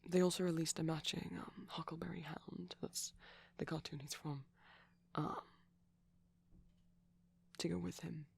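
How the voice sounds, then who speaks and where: A man speaking in a soft, breathy ASMR ramble, often slipping into a whisper, gentle, shy and hesitant, close to the microphone. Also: on the high side, little pitch movement, a little slow, long pauses. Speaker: ASMR performer.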